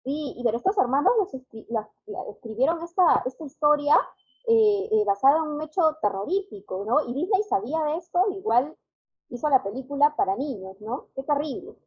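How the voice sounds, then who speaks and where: High, husky woman hosting. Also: lively intonation, brisk. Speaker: show host. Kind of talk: casual co-hosted chat. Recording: dull, lo-fi audio.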